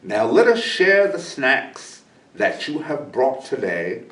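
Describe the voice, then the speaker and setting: A man reads as a great, kindly eagle from a children's storybook, proud and patient. The delivery is slow and very melodic, encouraging like a teacher's. It sounds roomy, picked up from a distance. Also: mid-range voice, very animated, fairly bright tone. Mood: gently firm. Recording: roomy echo, distant microphone.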